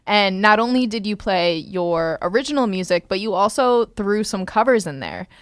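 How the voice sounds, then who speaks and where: Woman host asking questions, smooth voice. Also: medium pitch, lively intonation, normal speed, no echo, clean studio sound. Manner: hesitant, many "um"s. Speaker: radio show co-host. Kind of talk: interview questions.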